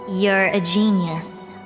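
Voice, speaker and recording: A woman voicing a composed, haughty young seer in a video game, speaking formally with dry authority. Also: mid-range voice. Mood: grave, imperious. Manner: formal, measured, dryly imperious. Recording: long hall reverb, game music behind. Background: game music.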